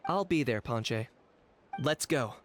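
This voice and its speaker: An actor voicing a cool, pragmatic young warrior in a video game, terse and matter-of-fact. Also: male voice, mid-range voice, lively intonation, slow, heavy background noise. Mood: cool, brisk.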